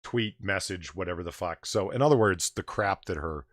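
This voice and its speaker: A man hosting a live comics news stream, ranting with a booming voice and plenty of profanity. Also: moderate pace, fairly bright tone, dry recording, clean studio sound. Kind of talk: opinionated live-stream commentary.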